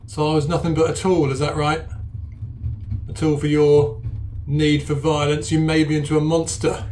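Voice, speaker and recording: A man's voice: on the high side, little pitch movement, moderate pace, a little mellow, natural voice. A man playing a bitter, betrayed man out for revenge, accusing in an improvised tabletop roleplay, with some noise on the recording. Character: betrayed, vengeful man confronting his betrayer.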